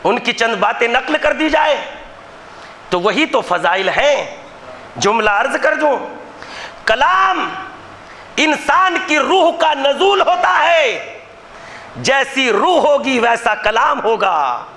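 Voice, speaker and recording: A man preaching a majlis, his voice very high and strikingly bright. He declaims slowly and forcefully, shouting many of his phrases in impassioned oratory. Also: expressive, a little hiss or hum. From Gujarat, India. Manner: impassioned, declaiming, often shouting rhetorical lines. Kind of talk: Muharram majlis sermon oratory. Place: religious gathering hall.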